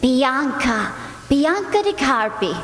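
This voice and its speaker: A woman's voice: sits high, big pitch swings, slow, fairly bright tone, slight background noise, heavily acted. A woman playing a flustered, forgetful English sister-in-law in a radio play, breathless, dithering and repeating herself. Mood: gushing, hesitant. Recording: echoey, reverberant hall.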